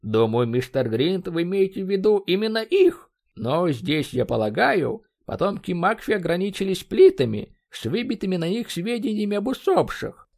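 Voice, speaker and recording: An eager, pedantic professor from an audiobook, acted out by a man. His voice sits fairly high and swings widely in pitch. He lectures in a formal, businesslike way, full of scholarly zeal. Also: very animated, moderate pace, creaky, darkish tone, exaggerated voice. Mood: brisk lecturing.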